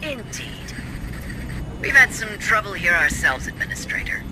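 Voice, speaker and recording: An actor playing a polite but condescending corporate director in a sci-fi video game, speaking formally. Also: male voice, high voice, sing-song, unhurried, noisy background. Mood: smug, grave. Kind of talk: cinematic video game acting.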